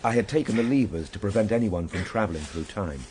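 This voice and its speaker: An actor playing a reflective gentleman traveller, narrating his journey in a measured, literary, solemn manner for a radio drama. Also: fairly low pitch, animated, slight background noise, natural voice. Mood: grave, uneasy.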